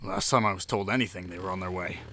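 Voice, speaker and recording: A man's voice: deep voice, fast talker, noisy background. Man as a dry, weary heist crew member. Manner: dry, understated. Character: weary, dry, serious male crew member and brooding narrator. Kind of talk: audio drama acting.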